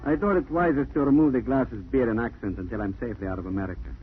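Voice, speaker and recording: A man's voice: mid-range voice, quick pace, lightly acted. A cold and mocking male spy villain, played by a radio actor in a 1940s thriller. He speaks in wordy, suave threats over a tinny, hissy old broadcast. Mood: smug menace. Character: cold smug spy villain, menacing, easily rattled. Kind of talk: 1940s radio drama acting.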